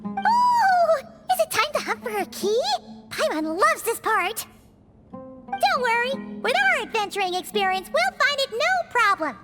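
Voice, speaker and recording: A woman voicing a tiny, excitable, childlike fairy companion in a video game, with a squeaky, bubbly delivery. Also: unhurried, full character voice. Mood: excited. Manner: bubbly, speaks of herself in third person. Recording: music behind the voice.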